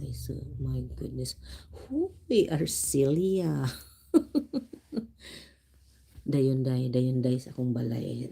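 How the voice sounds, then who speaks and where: A host from the Philippines with a Filipino accent, chatting casually and quietly on a livestream from home. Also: mid-range voice, vocal fry, husky. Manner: speaking softly and quietly to avoid disturbing neighbors. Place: home, indoors.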